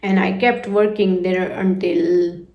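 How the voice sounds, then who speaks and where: A woman in her thirties from India, whose first language is not English, telling her life story in an unhurried, reflective way. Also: lowish voice, flat delivery, creaky, darkish tone, echoey room, muffled recording. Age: 30s. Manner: unhurried, reflective, with frequent "you know" fillers. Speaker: vlogger.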